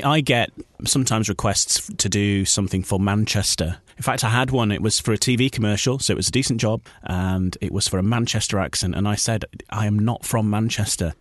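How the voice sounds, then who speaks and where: Male voice-over artist, Yorkshire accent, lower register, casual interview talk, dry booth-like sound. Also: no echo. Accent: northern English, Yorkshire. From Yorkshire, England. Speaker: voice-over artist, podcast guest. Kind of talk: casual interview chat, anecdotes.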